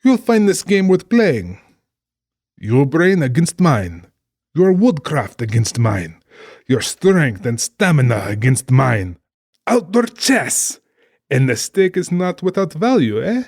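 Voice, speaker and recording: An actor playing a cultured, genially menacing general and big-game hunter in a dramatized audiobook, genteel, theatrical and condescending. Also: male voice, on the high side, sing-song, takes it slowly, darkish tone, no room sound, studio quality, full character voice. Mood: gleeful, menacing.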